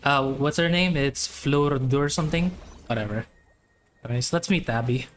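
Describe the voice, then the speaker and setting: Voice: mid-range voice, moderate pace. A young adult streamer chatting casually and playfully while gaming, rambling along into a streaming microphone. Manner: rambling, playful, singsong exclamations. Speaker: game streamer. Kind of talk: casual livestream chat while playing.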